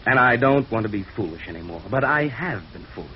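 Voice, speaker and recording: A man acting a bitter, stubborn, defensive jailed embezzler in a 1950s radio noir drama, agitated and often halting. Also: lowish voice, animated, natural voice. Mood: resigned, evasive. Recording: muffled, noisy old radio recording.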